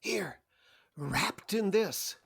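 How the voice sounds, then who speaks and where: An actor playing a fussy, slippery criminal accomplice in a radio play, precise, formal and prickly, with a husky, creaky voice. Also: male voice, high voice, expressive, very slow, a bit bright, slight background noise, exaggerated voice. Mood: eager. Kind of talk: 1940s-style radio play acting.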